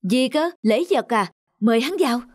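A woman's voice: fairly high pitch, expressive, normal speed, no echo, studio quality. A woman voicing a pompous, lazy, greedy male district magistrate in a cartoon folk tale. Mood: dismissive, greedy.

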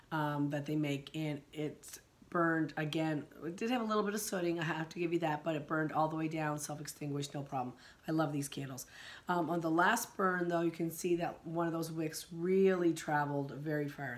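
A middle-aged woman, hoarse from a sinus infection, chatting casually about candles in her living room, rambling with many ums and restarts. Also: deep voice, noisy background. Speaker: vlogger. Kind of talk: casual chat reviewing candles.

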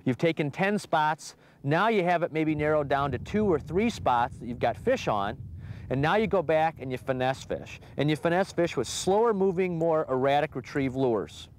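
A man's voice: a little high, animated, moderate pace, a little hiss or hum. A man in his thirties hosting an instructional fishing show from a boat on a lake, upbeat and coaxing as he talks the viewer through his method. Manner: upbeat, coaxing the fish while reeling. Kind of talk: instructional outdoor fishing talk. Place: small boat on an open lake outdoors.

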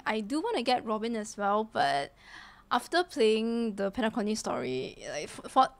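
A young woman in her twenties streaming from a small room into a desk mic, chatting casually with viewers in a slightly hoarse voice. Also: fairly high pitch, dry recording. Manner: chatty, greets viewers, many fillers. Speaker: livestreamer. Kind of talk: casual gaming stream chat. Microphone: desk mic in front of her. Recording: music playing behind the voice.

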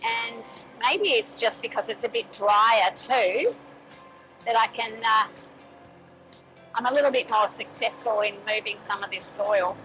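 A middle-aged woman narrating a farm vlog in a plain-spoken, unhurried explaining manner. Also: a little high, fairly bright tone, soft background music, poor audio. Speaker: vlogger, permaculture farmer.

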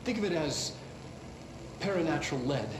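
An actor playing an awkward middle-aged American scientist presenting a research film in a game, halting and professorial. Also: male voice, a little high, expressive, lightly acted. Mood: earnest, awkward. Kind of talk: retro educational film presentation. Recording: echoey old film audio, clear noise.

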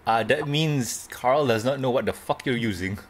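Husky, teasing man player. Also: mid-range voice, normal speed. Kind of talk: playing a tabletop game online.

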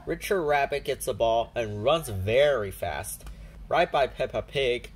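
A woman narrating a children's storybook aloud in a deliberate, simple storytelling manner. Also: extremely low pitch, expressive, a little hiss or hum.

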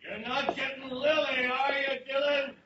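High-pitched, distant jeering gunslinger. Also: male voice, level tone, a little slow. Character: taunting, defiant gunslinger villain of the Old West. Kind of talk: 1950s radio western acting. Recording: distant, echoey, very noisy muffled radio recording.

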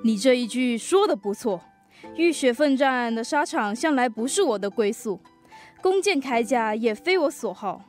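A teenage girl acts a dutiful soldier with ideals in a student radio drama. The voice is rather high, earnest and formal, with soft music behind. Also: expressive, normal speed, lightly acted. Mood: reflective.

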